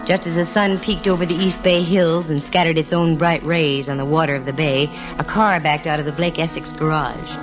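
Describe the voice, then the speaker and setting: A woman's voice: deep voice, steady tone, moderate pace, hardly any pauses, lightly acted, poor audio. An actress playing a breezy, wry female private eye narrating her case in slangy 1940s radio style. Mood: wry, brisk. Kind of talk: 1940s radio detective acting. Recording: old radio recording with music.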